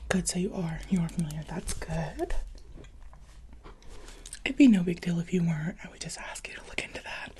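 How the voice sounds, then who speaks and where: A woman speaking very softly and breathily, often in a whisper, as a gentle, encouraging interviewer in an ASMR roleplay. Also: lowish voice, animated, moderate pace, pauses often. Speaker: ASMR roleplayer playing a restaurant job interviewer.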